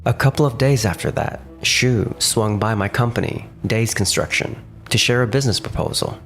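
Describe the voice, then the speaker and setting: A man's voice: fairly low pitch, animated, gravelly, fairly bright tone. A man voicing a level-headed, cautious young company boss who also narrates a manga dub. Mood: wry narrating. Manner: measured, composed. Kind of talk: manga dub dialogue and first-person narration. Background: faint background music.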